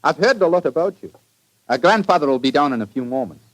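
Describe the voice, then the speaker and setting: Eager young heir, lively, lightish voice. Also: male voice, a little high, quick pace, rough recording. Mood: eager, courteous. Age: young adult. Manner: polite, earnest. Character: eager, courteous young heir, baronet's grandson. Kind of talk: 1940s radio drama acting.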